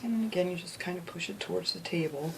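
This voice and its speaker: Low-voiced chatty woman, sniffly. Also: fast, creaky, slight background noise. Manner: chatty, relaxed, lots of "you know". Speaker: craft video host. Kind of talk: casual step-by-step craft tutorial. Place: home craft room.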